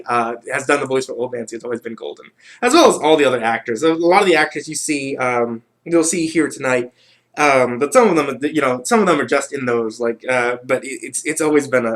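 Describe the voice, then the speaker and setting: A man's voice: medium pitch, a bit bright. A man hosting a livestream in casual, rambling chat, often repeating himself as he goes. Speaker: livestream host.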